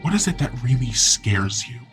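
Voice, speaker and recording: A sinister male ghost living inside a computer, as a man voices him. He answers in short, cryptic, taunting phrases in a mid-pitched voice. A clear music bed plays underneath. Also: sing-song, moderate pace, fairly bright tone.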